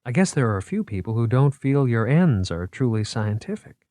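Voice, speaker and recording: A man's voice: medium pitch, very animated, moderate pace, dark tone, no room sound, clean studio sound, lightly acted. A man voicing a cool, skeptical young woman. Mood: wary. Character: sharp, skeptical young female grad student. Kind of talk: audiobook character voice.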